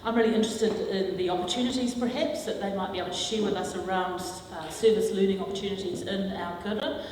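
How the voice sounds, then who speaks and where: A woman with a New Zealand accent introducing conference speakers in a measured, slightly halting way. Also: mid-range voice, steady tone, a little mellow, a little hiss or hum. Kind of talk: formal introduction. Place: large hall. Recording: echoey hall from far off.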